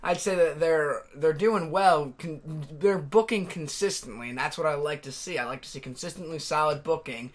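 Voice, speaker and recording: A man's voice: on the high side, moderate pace, few pauses. A young man as a podcast guest, talking casually with enthusiastic, opinionated takes.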